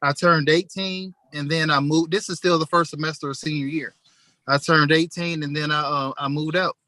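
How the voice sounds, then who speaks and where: A young man with a bright, fairly high voice talks casually on a podcast. His stories come out relaxed and full of slang, and the sound is close and dry. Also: normal speed, dry recording. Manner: laid-back, storytelling with slang. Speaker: podcast co-host. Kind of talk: casual chat.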